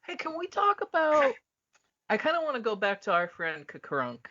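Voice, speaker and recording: Male podcast co-host, forceful bright voice, opinionated and sweary fan talk, slightly muffled lo-fi recording. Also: very high-pitched, huge pitch swings, moderate pace. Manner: opinionated, blunt. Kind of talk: casual, profane fan discussion.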